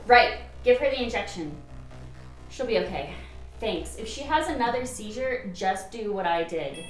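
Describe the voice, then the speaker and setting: A woman playing a brisk, commanding doctor handling an emergency in an amateur radio play. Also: mid-range voice, animated, faint music behind, natural voice. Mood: urgent, instructing. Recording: roomy, distant mic, faint noise.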